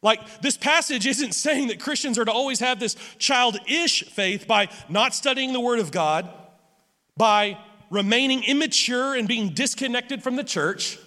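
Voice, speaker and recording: A man preaching a sermon as pastor, with a loud voice, often stumbling over and repeating his words as he goes. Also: extremely high pitch, unhurried. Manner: stammering restarts, animated and repetitive. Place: church sanctuary. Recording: long hall reverb.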